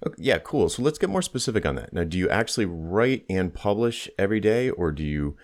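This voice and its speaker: A man's voice: sits low, very animated, brisk. A man hosting a casual interview, putting questions to his guest in a conversational way. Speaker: interviewer, host.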